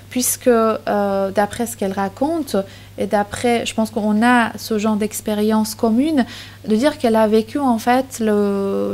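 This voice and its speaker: A woman's voice: medium pitch, darkish tone. A woman in her thirties speaking at a university panel into a table microphone, with frequent fillers and restarts. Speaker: conference speaker. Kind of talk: academic talk with many hesitations. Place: university lecture hall, seated at panel table. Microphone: table microphone on stand.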